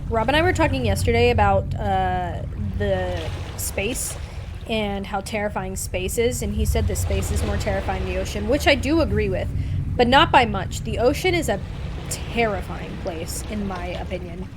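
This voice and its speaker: A woman's voice: medium pitch, animated, moderate pace, noisy background. A woman hosting a livestream, chatting casually and giving her opinions in an informal, conversational way. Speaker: livestream host. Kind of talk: casual chat over gameplay.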